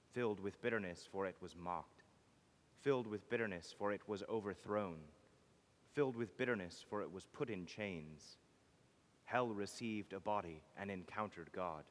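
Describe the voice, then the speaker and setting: A man reading a homily as clergy, in a solemn, measured, declamatory liturgical style. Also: lowish voice. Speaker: clergy reader. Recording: long reverb of a church.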